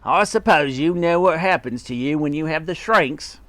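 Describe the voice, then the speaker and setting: A man's voice: fairly high pitch, moderate pace, a bit bright, dry recording, pitched above the performer's own voice, close to an everyday voice. A man voicing a mean, scheming old husband in a storybook, gloating with taunting emphasis and mock solemnity.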